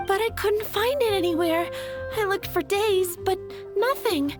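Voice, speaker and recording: A woman's voice: very high-pitched, unhurried. A woman playing a young, girlish flower seller in an anime game, polite and rather nervous. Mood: flustered. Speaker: shopkeeper. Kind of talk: anime video game voice acting. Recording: game dialogue over music.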